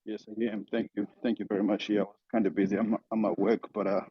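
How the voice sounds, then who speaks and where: Husky man, muffled audio. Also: on the low side, level tone, quick pace. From Tanzania. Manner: easygoing, rambling, clears throat often. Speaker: guest speaker. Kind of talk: casual chat. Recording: muffled online call audio.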